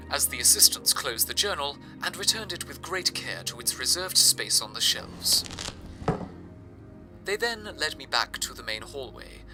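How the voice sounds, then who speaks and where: A man's voice: mid-range voice, natural voice, rough recording. Male actor as earnest, theatrical old-time radio host, formal and loyal, very varied intonation, music underneath. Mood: earnest, reverent. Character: cheerful, loyal male radio broadcaster of a dystopian city. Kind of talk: scripted old-time radio broadcast narration. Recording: music playing behind the voice.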